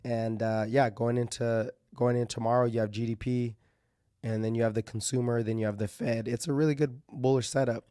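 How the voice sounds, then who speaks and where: Slightly hoarse man thinking aloud. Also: medium pitch, little pitch movement, fairly quick, dark tone, no room sound, studio quality. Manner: loose, thinking aloud with many fillers and restarts. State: losing his voice, clearing his throat. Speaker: market commentary host. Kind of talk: casual live market commentary.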